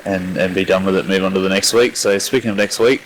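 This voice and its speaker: A man's voice: low voice, steady tone, fast talker, slight background noise, poor audio. An Australian man co-hosting an online racing broadcast, chatting casually with lots of fillers on a headset mic. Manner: chatty, rambling, filler-heavy. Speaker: co-host.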